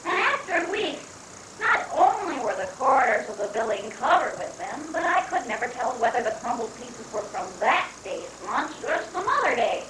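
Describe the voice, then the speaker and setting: A woman's voice: high-pitched, sing-song. Woman acting flustered, swooping-voiced bumbling secretary. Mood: befuddled. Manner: halting, flustered. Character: muddled, bumbling government secretary lost after lunch. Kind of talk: amateur dramatic reading of a satirical play. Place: room at a live library reading. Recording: echoey, distant, noisy room recording.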